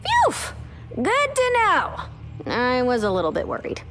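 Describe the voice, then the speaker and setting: Nervous quirky girl hero, high voice, very animated, drawn-out delivery, game dialogue, loud noisy background. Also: heavily acted. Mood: relieved. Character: quirky, nervous, chirpy young heroine. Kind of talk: video game character dialogue. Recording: loud noise, clicks, long reverb.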